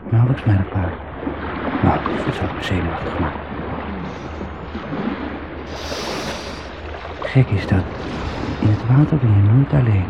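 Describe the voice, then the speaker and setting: A husband alone in the sea, musing wryly to soothe himself in a lowish voice. It is an old radio play, muffled, with clear noise and watery sounds behind him. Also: male voice. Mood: uneasy musing. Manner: musing, wry, talking to himself. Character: brooding, self-soothing husband swimming out to sea. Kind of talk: radio drama monologue.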